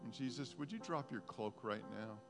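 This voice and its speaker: A pastor leading a prophetic prayer time, speaking softly and haltingly, repeating his words. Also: medium pitch, lively intonation. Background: guitar music.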